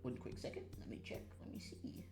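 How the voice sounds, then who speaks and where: A young adult streamer chatting casually over a visual novel in a playful, theatrical way. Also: brisk. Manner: theatrical, playful, bursts into yelling and laughter. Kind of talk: casual livestream chat and visual novel read-aloud. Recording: music behind the voice.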